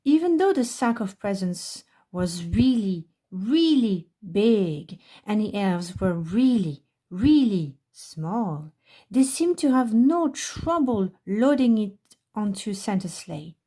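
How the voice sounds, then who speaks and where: A woman narrates a children's picture book for storytime. Her delivery is unhurried and her tone is mellow, at a middle pitch for a woman. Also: animated. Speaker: library storytime reader.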